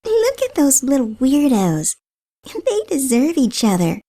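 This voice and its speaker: A woman plays a snobby young cartoon pony bully. The voice is high and sneering, swinging animatedly through each taunt. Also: wildly swooping pitch, a little slow, mellow, heavily acted. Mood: scornful.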